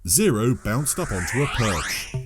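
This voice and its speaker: A man narrates a scripted audio drama in a dramatic storytelling style, with lively pitch movement. Music plays faintly behind his mid-pitched voice. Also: unhurried.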